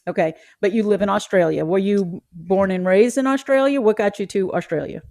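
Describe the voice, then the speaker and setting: A woman's voice: medium pitch, a little fast, darkish tone, dry recording, studio quality. A woman hosting a podcast, asking chatty, curious questions that ramble a little. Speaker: podcast host. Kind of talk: casual interview chat.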